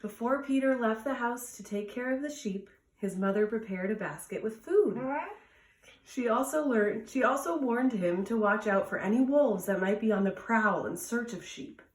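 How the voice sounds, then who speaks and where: A woman's voice: a little high, normal speed, slight background noise. A woman reading a children's story aloud as a storytime reader, recorded indoors at home. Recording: roomy echo, distant from mic.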